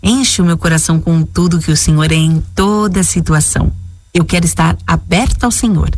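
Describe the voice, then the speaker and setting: A woman's voice: lowish voice, dry recording. A woman missionary giving a recorded devotional message in an earnest, exhorting way.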